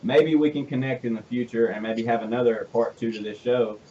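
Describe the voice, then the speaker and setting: A man in his twenties with a Southern US accent, hosting a casual interview and talking in a chatty, animated way with frequent restarts. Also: medium pitch, brisk, muffled recording, slight background noise. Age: 20s. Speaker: host.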